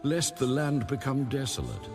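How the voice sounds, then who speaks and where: A man narrating scripture in a solemn, measured, authoritative way, like an audio Bible. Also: mid-range voice, big pitch swings. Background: background music.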